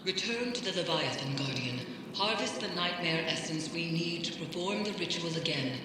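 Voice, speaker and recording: An actress playing a solemn mystic mentor in a video game, giving grave, measured and deliberate instruction. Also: female voice, fairly low pitch, lively intonation, natural voice. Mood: solemn, resolute. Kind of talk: scripted video game narration. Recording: long echoing reverb, noisy ambience.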